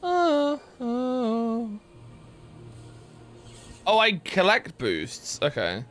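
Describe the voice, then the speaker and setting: A young man casually chatting while streaming a game, rambling with lots of fillers and excited yelps. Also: very high voice, extremely slow, soft background music. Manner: rambling, filler-heavy, reads chat aloud, yelps with excitement. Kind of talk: casual live-stream gameplay chat.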